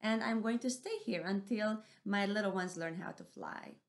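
A woman's voice: mid-range voice, normal speed, lightly acted. A woman voicing a tiny, anxious mother owl who is protecting her eggs and stammers when she is scared. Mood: prim. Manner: pleading, stammering when frightened.

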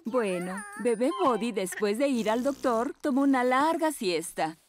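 A woman's voice: on the high side, animated, unhurried, crowd noise. A woman voicing a friendly, caring animal mother in a Latin American Spanish children's cartoon. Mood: warm. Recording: music behind the dialogue.

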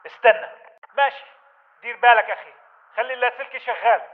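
Narrow radio band, watchful male insurgent sentry, Levantine Arabic accent, fast clipped reports, video game. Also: very high-pitched. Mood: alert, clipped. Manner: clipped, alert. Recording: narrow, tinny radio-like sound.

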